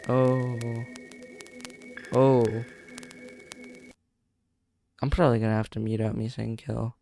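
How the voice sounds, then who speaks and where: A man's voice: medium pitch, flat delivery, takes it slowly, vocal fry, mellow, soft background music. A man reading a horror story aloud in a casual, stumbling way, breaking off to react. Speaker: video creator reacting and narrating.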